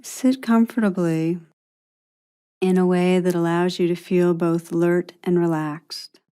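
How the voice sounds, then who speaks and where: Slow, mellow woman guiding a meditation. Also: lowish voice, fairly even intonation, smooth. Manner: soothing, unhurried, with long pauses. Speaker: meditation teacher. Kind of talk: guided meditation narration.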